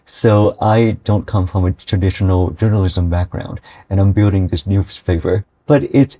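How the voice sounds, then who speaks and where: A man's voice: low-pitched, dark tone. A young man from Vietnam who edits a student newspaper, answering radio interview questions earnestly and often adding "right?". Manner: earnest, with frequent "right?" tags. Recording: dull, lo-fi audio.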